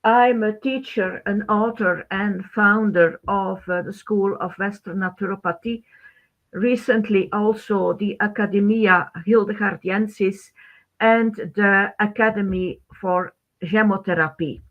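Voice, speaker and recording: A middle-aged woman from Belgium, a native Dutch speaker with a Belgian Dutch accent, presenting a webinar informally in halting, non-native phrasing. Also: mid-range voice, steady tone. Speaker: webinar host, teacher. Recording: thin phone-like band-limited webinar audio.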